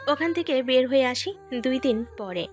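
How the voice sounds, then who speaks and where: High-pitched woman narrator over music. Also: animated, normal speed. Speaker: story narrator. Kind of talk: scripted audio-story narration.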